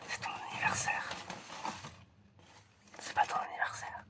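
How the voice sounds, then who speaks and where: Someone whispering hesitantly and softly to the listener, in an ASMR roleplay as an examiner. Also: a little slow. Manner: hesitant, soft-spoken, addressing the listener directly. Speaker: ASMR creator playing an examiner.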